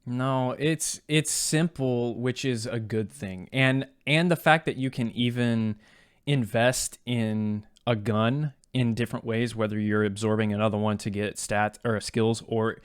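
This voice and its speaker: A man's voice: mid-range voice, fairly even intonation, measured pace, smooth, no room sound, clean studio sound. A man co-hosting a casual gaming podcast, giving opinions and banter in a blunt, opinionated way. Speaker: podcast co-host.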